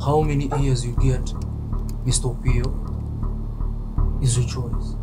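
A man with a Kenyan accent acting as a stern, determined police detective in a film, speaking deliberately with pauses. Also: medium pitch, flat delivery, natural voice. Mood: controlled, pressing. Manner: deliberate, measured, pausing. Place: small dim interrogation room. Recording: dramatic music loud behind.

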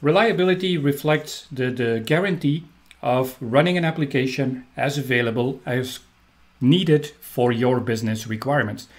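Man, Belgian accent, middle-aged, technical trainer, enthusiastic, home webcam recording. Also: mid-range voice. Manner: upbeat, enthusiastic, with frequent um fillers.

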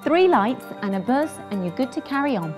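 High-pitched British woman presenting training. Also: very animated, brisk, faint music behind. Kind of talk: scripted instructional presenting.

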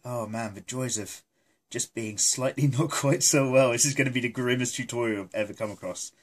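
A man's voice: medium pitch, fairly quick. A British man with a blocked-up nose from a cold, hosting a drawing tutorial in a chatty, jokey, self-deprecating and mildly sweary way. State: congested with a cold. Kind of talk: casual, rambling tutorial narration. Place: indoors at a desk, hands filmed from above.

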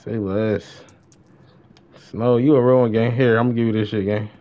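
A man's voice: on the low side, steady tone, moderate pace. A young American man chatting casually on a live game stream, slangy and repeating short phrases. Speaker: live streamer playing an online roleplay shooter game. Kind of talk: casual live gameplay chat. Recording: slightly dull, lo-fi stream audio.

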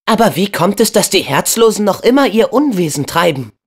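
A young man voicing an upbeat, brave and earnest teenage boy hero in a video game, with an expressive, youthful delivery. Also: sits high, brisk, breathy edge, full character voice. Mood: curious, earnest.

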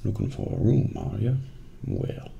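Man acting a gravelly, soft-spoken Southern motel clerk. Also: on the low side, wildly swooping pitch, lo-fi sound, heavily acted. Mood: folksy, unhurried. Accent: American Southern. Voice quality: soft, breathy, gravelly, slipping into whisper. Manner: slow, drawling, folksy, hesitant pauses. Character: laid-back folksy small-town motel clerk, adult man. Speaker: ASMR role-play performer. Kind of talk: soft-spoken ASMR role play. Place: small dim office at a desk. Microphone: close binaural microphone. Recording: noisy close binaural recording, room ambience.

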